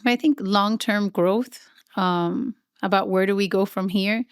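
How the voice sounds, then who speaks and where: A woman's voice: medium pitch, measured pace, smooth, no room sound, studio quality. A middle-aged woman, a nonprofit CEO, talking casually in an interview, chatty and self-deprecating.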